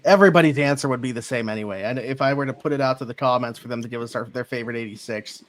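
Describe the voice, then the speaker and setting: A man with a Pittsburgh accent co-hosts a sports podcast. His voice sits at a middle pitch, and he talks in an informal, chatty style. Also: racing. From Pittsburgh area, United States. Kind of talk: casual sports podcast chat.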